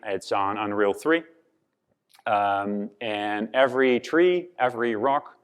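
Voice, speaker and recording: A man's voice: medium pitch, a bit bright, clean tone. A man from the Netherlands with a Dutch accent, giving a conference presentation with many fillers and restarts. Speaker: conference speaker.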